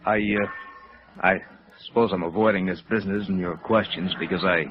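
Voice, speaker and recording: An American actor playing a middle-aged, chagrined hotel operator in a 1950s radio drama, rueful and emphatic. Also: male voice, low-pitched, steady tone, normal speed, lightly acted. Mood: rueful, exasperated. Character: chagrined, rueful gray-haired hotel operator, thirty years in business. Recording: dull vintage radio recording.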